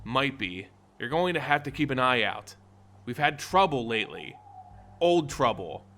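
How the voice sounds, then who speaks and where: A man voicing a grave, weary wizard in a fantasy story, speaking in a solemn, measured manner. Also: medium pitch, very animated, pushed and bright, a little hiss or hum, pitched below the performer's own voice. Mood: grave warning. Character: grave, weary male wizard warning an ally. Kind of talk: dramatic fantasy story narration dialogue.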